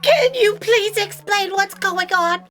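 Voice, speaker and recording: A bewildered young alien intern in an audio comedy. She has a tiny, extremely high voice. She questions everything with indignation. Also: takes it slowly, a bit bright, faint music behind, exaggerated voice. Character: bewildered eighteen-year-old female tentacled alien bookish intern. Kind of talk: scripted audio comedy acting.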